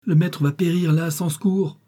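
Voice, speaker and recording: A man's voice: fairly low pitch, fairly quick, mellow, natural voice. A man voicing an anxious, easily shaken mill hand in a storybook reading, with distressed, pleading delivery. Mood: desperate.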